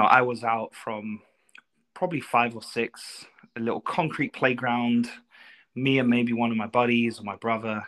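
A man's voice: lowish voice, a bit bright. A man with a North London English accent, a soccer coach, speaking as a guest in a casual, polite and reflective way, with frequent asides. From North London, England. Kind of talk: casual interview storytelling.

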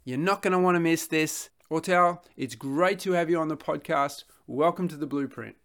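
A man's voice: on the high side, moderate pace, a bit bright. A man hosting a podcast interview. He introduces his guest and asks questions in an upbeat, friendly way, using filler words. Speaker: podcast host.

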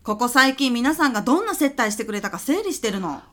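A woman acts out a sly female executive in a comic radio sketch. Her voice is high and very bright, with big swings in pitch as she chats breezily and probes like a boss who knows more than she says. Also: very animated, fast. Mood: chatty, probing. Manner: casual, chummy boss talk that probes pointedly. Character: sly, breezy senior female client executive, testing a vendor. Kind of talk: comedy radio sketch acting.

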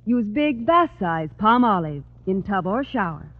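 High, tinny male radio pitchman. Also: big pitch swings, unhurried. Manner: emphatic, polished sales delivery. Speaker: commercial announcer. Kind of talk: 1950s radio advertisement, scripted pitch. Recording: thin, phone-like vintage radio recording.

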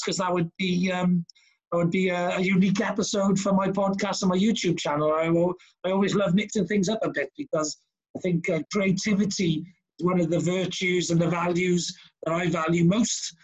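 A man's voice: high voice, lo-fi sound. A man with a Welsh accent hosting a podcast, chatting casually over a video call with lots of fillers and restarts. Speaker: podcast host.

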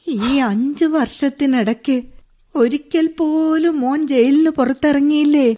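A soft-toned, fairly high female voice. She is a knowing older woman in a radio drama who speaks very slowly and deliberately, probing as she goes.

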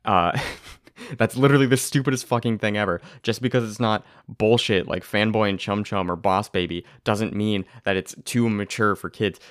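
A young man hosting a casual podcast, talking excitedly and rambling, with a tired voice. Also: lowish voice, normal speed, dry recording, clean studio sound. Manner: excitable, rambling, swears, laughs often. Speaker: podcast host. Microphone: close to the mic.

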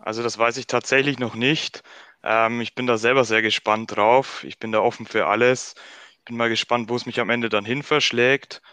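Young man from Bavaria, bright crisp voice, conversational podcast guest, dry sound. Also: mid-range voice, steady tone, normal speed, no echo. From northern Bavaria, Germany. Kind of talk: conversational interview answers.

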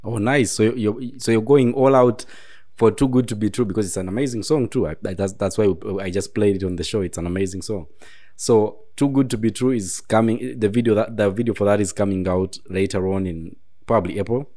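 A man's voice: lowish voice, brisk, mellow. A man hosting a casual interview, restating his points as he goes. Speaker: interview show host.

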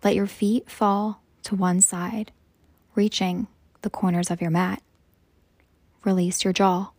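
A woman guides a meditation in a soft, breathy, hushed voice, measured and soothing, leaving long pauses between phrases. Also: mid-range voice, level tone, no echo. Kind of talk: slow guided meditation narration.

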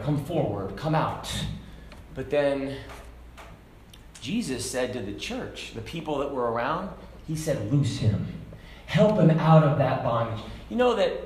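A man preaching a sermon to a congregation, talking casually and then building to loud, fervent shouts. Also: medium pitch, a little hiss or hum. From Hong Kong. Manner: conversational preaching, rising to shouts. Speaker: pastor. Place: church sanctuary. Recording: echoey church room.

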